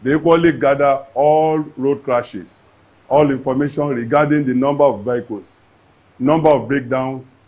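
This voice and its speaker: Husky official, earnest tone. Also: male voice, medium pitch, steady tone, normal speed, a little mellow. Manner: earnest, official, with some restarts. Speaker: agency official. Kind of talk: news interview answers.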